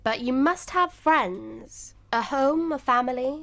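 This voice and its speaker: A woman acting in a radio drama as a brisk, wry playwright's wife with an emphatic way of talking. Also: high-pitched, creaky, a bit bright, exaggerated voice. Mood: probing. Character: brisk, bossy, loyal playwright's wife, wryly teasing.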